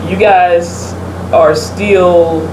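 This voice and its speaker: A woman's voice: lowish voice, steady tone, extremely slow. A woman co-hosting a casual talk show, chatting and giving her opinions in an emphatic, conversational way. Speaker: podcast co-host. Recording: roomy echo, clear background hum and noise.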